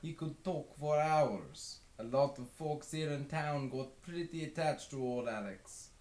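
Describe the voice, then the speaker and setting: A man voicing a middle-aged, folksy grain elevator owner who chats in a drawn-out storytelling way. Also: fairly high pitch, darkish tone, pitched above the performer's own voice, lightly acted. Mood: wistful, fond. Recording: roomy, echoing home recording.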